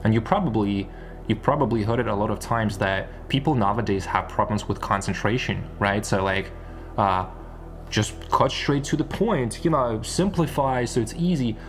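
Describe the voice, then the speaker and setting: A man's voice: lowish voice, expressive, normal speed, a little hiss or hum. A man giving an unscripted, rambling monologue as an online content creator, repeating phrases and posing rhetorical questions. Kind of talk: unscripted one-take monologue.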